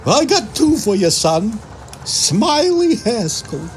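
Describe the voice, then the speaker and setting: An actor playing a chummy, folksy bar owner and informant in an audio drama. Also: male voice, very high-pitched, big pitch swings, slow pace, slight background noise, heavily acted. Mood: chummy, sly.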